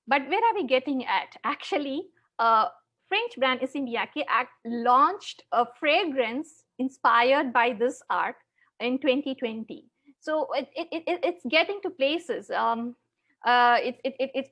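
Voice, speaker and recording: High-voiced South Indian woman teaching online. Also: a bit bright. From Chennai, South India. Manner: halting, often repeating words. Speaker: teacher, online conference presenter. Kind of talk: interactive online lesson with slides. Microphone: video call.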